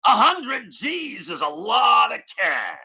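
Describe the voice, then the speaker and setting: A mean little crime boss from a radio detective play. His voice is gravelly and sharply edged, and he drags his curt, threatening words out slowly. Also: high voice, wildly swooping pitch, pushed and bright, shouting, heavily acted. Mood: curt menace. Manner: curt, menacing, drawn-out. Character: sallow, mean little scar-faced gangster boss. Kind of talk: old-time radio detective drama acting.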